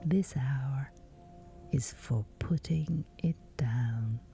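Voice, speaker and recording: A woman guiding a sleep meditation in a hushed, whispery, unhurried voice with long pauses, reading as scripted narration. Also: very deep voice, over music.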